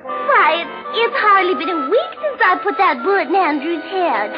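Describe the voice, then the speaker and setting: An actress playing a sweet, fussy young Southern widow in a 1960s radio drama, with a coy, genteel, indignant manner. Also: female voice, extremely high pitch, expressive, normal speed. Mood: coy indignation. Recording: dull vintage 1960s radio recording, music.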